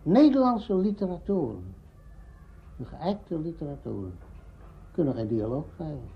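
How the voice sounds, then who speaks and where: Animated, higher-voiced male interviewee. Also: moderate pace, vocal fry. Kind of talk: spontaneous interview commentary. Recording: dull lo-fi archive interview recording.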